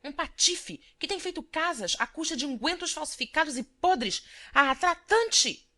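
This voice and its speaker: A woman's voice: high voice, lively intonation, normal speed, pushed and bright, voice pushed up. A woman voicing an indignant, scolding matron who hurls exclaimed insults in an audiobook. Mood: furious, indignant. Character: indignant matron, a pharmacist's wife, furious and scolding. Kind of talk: audiobook character voice.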